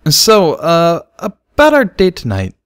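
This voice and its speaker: Storybook adult mare, played by a man: raised pitch, casual, easygoing, playful. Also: huge pitch swings, slow pace, smooth, no echo, full character voice.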